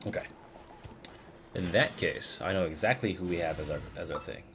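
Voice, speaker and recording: A male streamer with a deep voice talks through a game in a loose, slangy, rambling way. Clear noise and game ambience sit behind him. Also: normal speed, a bit bright. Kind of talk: casual live playthrough commentary.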